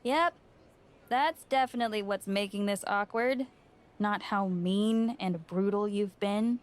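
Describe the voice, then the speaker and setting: Woman acting as sarcastic friend, female, frank, everyday mid-pitched voice, video game dialogue. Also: a little slow, lightly acted. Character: sarcastic, candid woman, wrestler's estranged friend.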